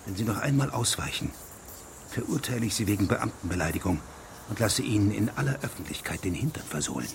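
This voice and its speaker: An actor playing a stern, insistent judge who questions formally and with authority in a radio play. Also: male voice, lowish voice, lively intonation, quick pace, vocal fry, noisy background. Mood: stern, commanding. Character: stern, insistent male judge investigating a case. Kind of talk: German radio drama dialogue.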